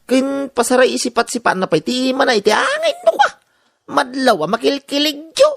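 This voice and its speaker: A woman's voice: high voice, big pitch swings, a little fast, vocal fry, heavily acted. An Ilocano-accented woman playing a gossipy, teasing busybody in a radio-style drama, with exaggerated delivery. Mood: teasing, insistent.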